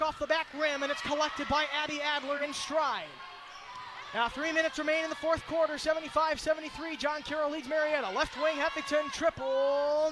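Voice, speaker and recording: A man doing excited, projected radio play-by-play of basketball in short, clipped, rapid-fire phrases. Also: very high-pitched. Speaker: play-by-play commentator. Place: indoor basketball arena. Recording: loud crowd and gym noise. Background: crowd noise and bouncing basketball.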